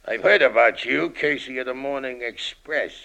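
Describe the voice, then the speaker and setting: An actor playing a hard, tired old American ex-convict in an old-time radio crime drama, talking tough underworld slang with menace. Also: male voice, medium pitch, moderate pace, gravelly, sharp tone, low-quality recording. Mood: weary, resigned. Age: older.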